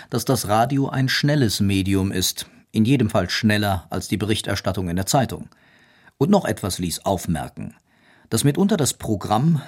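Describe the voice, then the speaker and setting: A man's voice: fairly low pitch, a little mellow. A man reading scripted narration as a documentary narrator.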